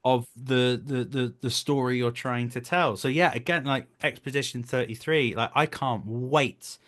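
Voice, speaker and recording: A man with a medium-pitched voice talks casually as a guest on a show. He is earnest and emphatic, often repeating a phrase to drive it home. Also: steady tone, moderate pace, a bit bright. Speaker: guest, voice actor. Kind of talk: casual interview conversation.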